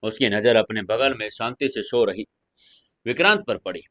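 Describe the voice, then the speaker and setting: A man's voice: on the low side, expressive, moderate pace, a bit bright, no echo, studio quality. A man reading a scripted romantic serial as a storyteller, with dramatized delivery. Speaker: audio story narrator.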